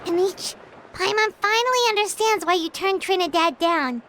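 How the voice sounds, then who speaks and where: A woman voicing a tiny, excitable, childlike fairy companion in a video game, with a squeaky voice and exclaiming manner. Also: moderate pace, slight background noise. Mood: excitable, curious. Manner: speaks of self in third person, exclaiming. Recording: game music behind the voice.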